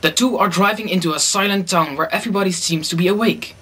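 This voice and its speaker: A man with a high-pitched voice narrates a radio play. He reads scripted story passages in the third person, linking the dramatic scenes. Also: fast talker, noisy background.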